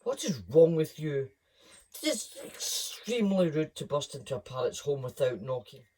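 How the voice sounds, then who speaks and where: A storyteller voicing a haughty, grumpy male grey parrot who scolds indignantly, with a husky tone. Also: medium pitch, a little slow, warm tone, far from the mic. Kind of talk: storybook reading.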